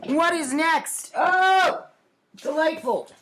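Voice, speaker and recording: A woman's voice: extremely high pitch, animated, extremely slow, fairly bright tone, slight background noise, poor audio. A woman in her forties talking casually and playfully in a storytime vlog, with a wry, mock-earnest manner. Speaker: host of a storytime series. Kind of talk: casual comic storytime vlog, commenting on own childhood writings. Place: home kitchen, indoors.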